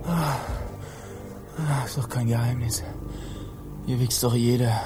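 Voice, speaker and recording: Man as slow-drawling, laconic pirate sailor. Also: mid-range voice, fairly even intonation, music in the background, lightly acted. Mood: offhand, lazy. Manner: drawled, colloquial, terse. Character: laconic, easygoing male pirate sailor, crude and unbothered. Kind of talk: theatrical radio drama acting.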